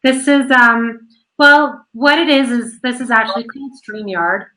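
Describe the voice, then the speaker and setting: A woman hosting an online radio interview, chatty and quick, laughing often. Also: on the high side, bright, forceful tone, rough recording. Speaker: host of an online radio show. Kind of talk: casual chat.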